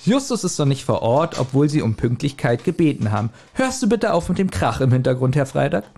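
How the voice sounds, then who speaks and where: A man hosting a casual podcast, retelling a story scene by scene in a halting, chuckling manner. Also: medium pitch, sing-song, creaky, a little mellow. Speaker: podcast host retelling an audio drama. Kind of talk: casual scene-by-scene recap among friends.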